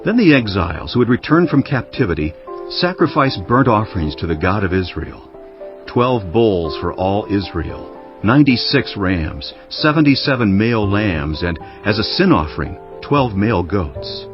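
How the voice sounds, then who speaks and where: Male scripture narrator, low and solemn, measured dramatic reading over clear music, dull recording. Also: sing-song. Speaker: Bible narrator. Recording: dull audio under music. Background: prominent background music.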